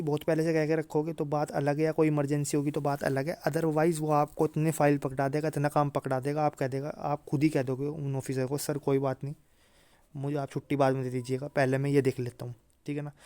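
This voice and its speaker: A man's voice: fairly high pitch, level tone, a little fast, dark tone, dry recording. A man presenting an informal career explainer for students, often repeating points for emphasis and tagging them with short check-in phrases. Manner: repetitive check-in tags, emphatic repetition. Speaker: exam-career video presenter.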